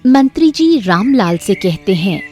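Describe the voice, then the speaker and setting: A woman's voice: medium pitch, big pitch swings, a little slow, dark tone. Woman narrating a story over music.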